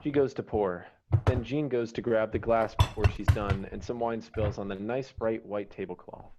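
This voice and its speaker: A man's voice: fairly low pitch, steady tone, moderate pace, darkish tone, slight background noise. A man reading stage directions and scene headings as the narrator of a screenplay. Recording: dull, slightly muffled online call audio.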